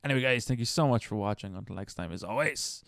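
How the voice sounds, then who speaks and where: A man presents a casual step-by-step tutorial in a chatty, informal way. His voice is clean and smooth, and he moves along quickly. The sound is dry and close. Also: mid-range voice, lively intonation, a bit bright, dry recording. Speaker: tutorial host.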